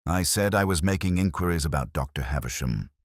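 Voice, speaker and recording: Man acting melancholy detective narrator, very low voice, expressive rise and fall, measured, literary, scripted radio mystery. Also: dry recording, clean studio sound. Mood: wistful, reflective. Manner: measured, literary, brooding delivery.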